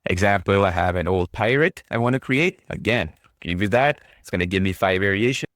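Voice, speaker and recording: Brief: deep male presenter, casual upbeat demo delivery, dry close sound. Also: expressive, fairly quick, a bit bright, dry recording. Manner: upbeat, breezy, says "boom" often. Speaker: product demo host. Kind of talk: casual screen-recorded walkthrough.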